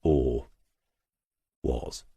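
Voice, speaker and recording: A man narrating a crime novel as a scripted audiobook, in a measured, reflective storytelling manner. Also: dark tone, no room sound.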